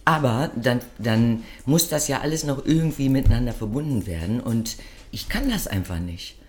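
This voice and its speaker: A woman's voice: very deep voice, moderate pace. Chatty woman with a stuffy cold. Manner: chatty storyteller, animated and self-mocking. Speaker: podcast co-host. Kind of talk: casual chat.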